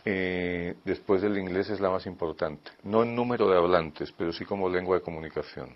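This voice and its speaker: A man being interviewed as an expert, answering thoughtfully with pauses and fillers as he lists points. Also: deep voice. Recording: dull lo-fi recording.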